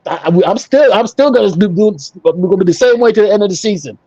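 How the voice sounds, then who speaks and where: A man's voice: sits high, animated, quick pace, dark tone, dry recording, highs cut off. A man talking casually and emphatically as an opinionated football fan on a panel show, repeating himself for emphasis. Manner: emphatic, repetitive, conversational.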